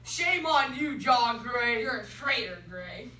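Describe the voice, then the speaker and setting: A teenage girl acting indignant, commanding colonial figures loudly in a school dramatic reading. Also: a little high, animated, slow pace, heavily acted. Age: young teenager. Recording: echoey classroom, far from mic, some noise.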